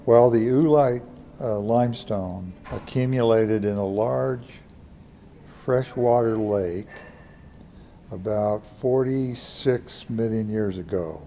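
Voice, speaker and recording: A man's voice: lowish voice, slow pace, mellow, slight background noise. A man explaining something as an expert, like a professor in an interview.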